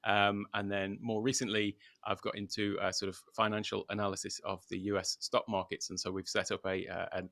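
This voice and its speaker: A man's voice: on the low side, little pitch movement, brisk, creaky, few pauses, fairly bright tone, no echo, clean studio sound. A British man from the UK, a guest talking loosely and unscripted over a video call, with many filler words. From United Kingdom. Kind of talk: casual livestream interview. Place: at home in Thailand.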